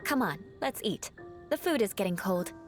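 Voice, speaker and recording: A woman's voice: medium pitch, big pitch swings, normal speed, soft background music, natural voice. An actress playing a proud, aloof young woman knight in a game, speaking in a dry, haughty, sardonic way.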